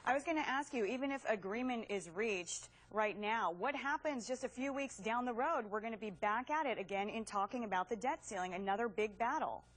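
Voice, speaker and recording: A woman's voice: a little high, brisk, hardly any pauses. An American woman hosting a television news programme, presenting and putting questions to a political guest. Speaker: TV news host, interviewer. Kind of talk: broadcast news presenting and interviewing.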